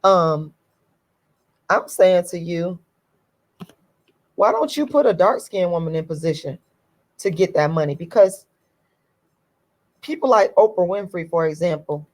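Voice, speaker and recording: Hoarse-voiced woman ranting bluntly. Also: animated, measured pace, pauses often. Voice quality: deep, hoarse voice. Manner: blunt, emphatic, repeats key phrases. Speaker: YouTube commentator. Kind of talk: casual opinion monologue.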